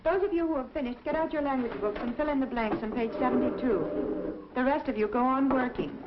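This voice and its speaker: A high, patient American schoolteacher acted by a woman addresses pupils in clear, instructive tones. It has the style of a 1950s educational film, with the muffled sound of an old soundtrack. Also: brisk, very noisy, natural voice. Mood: firm, directing. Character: dedicated, patient woman elementary school teacher. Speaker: actor playing a schoolteacher. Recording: dull vintage film soundtrack.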